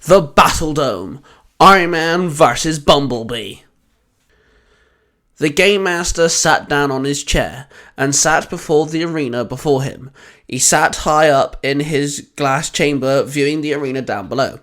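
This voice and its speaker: A man's voice: a little high, a little slow, a bit bright. A man hosting and narrating fan fiction audio stories in a scripted read.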